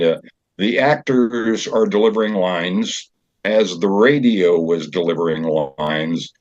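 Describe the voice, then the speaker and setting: An older man being interviewed as a guest over a remote call, explaining things in a measured, halting way. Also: fairly low pitch, dry recording. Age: older, 70s or so. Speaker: guest from a community theater group. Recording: dull, band-limited call audio.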